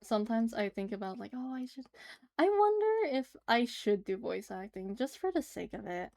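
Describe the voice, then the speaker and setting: A woman's voice: a little high, very animated, moderate pace, creaky, a little mellow. A woman livestreaming as she draws, chatting casually and giggling as she answers her chat. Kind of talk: casual chat while drawing on stream.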